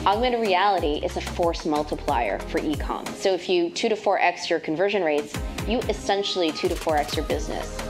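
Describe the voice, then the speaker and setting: A woman in her thirties chats through a recipe from her home kitchen, loose and relaxed, dropping fillers as she goes. Her voice sits on the lower side, and clear music plays under it. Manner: chatty, relaxed, frequent fillers. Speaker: host and tech sales lead. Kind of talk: casual cooking vlog and pitch.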